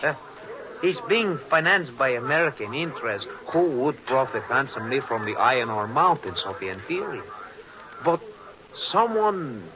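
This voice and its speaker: A man's voice: mid-range voice, very animated, normal speed, crowd noise, close to an everyday voice. An actor playing an earnest, idealistic Venezuelan man, confiding in a friend in a 1950s radio drama on a muffled old recording. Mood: worried. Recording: muffled vintage radio, background murmur.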